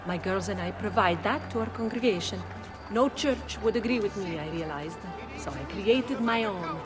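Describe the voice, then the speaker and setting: A woman's voice: medium pitch, normal speed, over music, lightly acted. A woman playing a poised, sensual Italian madam who styles herself a nun, in a dramatic video game. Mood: serene, seductive.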